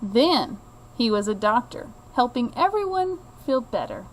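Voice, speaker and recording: A woman reading a children's picture book aloud in an expressive, deliberate way, like a teacher to a child. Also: a little high, low-quality recording. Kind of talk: children's storybook read-aloud.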